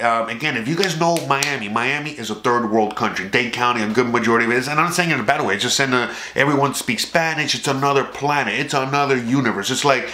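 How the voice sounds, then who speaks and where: A man's voice: mid-range voice, pushed and bright, reverberant. A man from South Florida with a Cuban American accent, hosting his own channel in a rambling, profane monologue full of "okay?" asides. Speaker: YouTube channel host. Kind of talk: casual profane monologue to camera.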